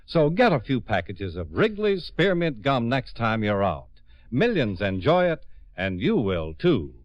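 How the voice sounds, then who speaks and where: An American man announcing on 1950s radio with a polished, deliberate sales delivery. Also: medium pitch, sing-song, no echo, dull audio.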